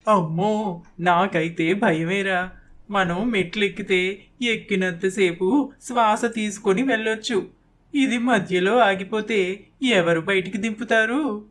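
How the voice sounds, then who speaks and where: A woman voicing a mild, patient older village wife and mother in an animated moral story, with a homely, soothing manner. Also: mid-range voice, slow. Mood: anxious.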